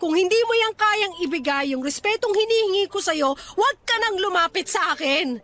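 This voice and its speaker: A woman's voice: extremely high pitch, animated, fairly quick, a bit bright, soft background music. An actress playing a hurt, defiant young woman rebuffing her ex-lover in a radio drama, sarcastic and reproachful. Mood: hurt, firm. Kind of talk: Tagalog radio drama acting.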